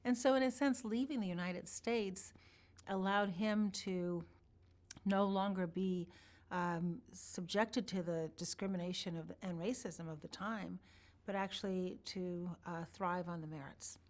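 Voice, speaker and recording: Woman interviewee, lowish voice, unhurried reflective storyteller. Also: steady tone. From born in Iran, grew up partly in Chicago. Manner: measured, thoughtful, warm recollection with pauses. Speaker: interview guest.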